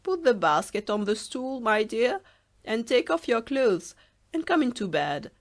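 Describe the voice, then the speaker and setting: A woman voicing a wicked, hungry male wolf in a storybook reading, speaking in a loud, sly, coaxing way. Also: a little high, very animated, a little slow, voice pushed up.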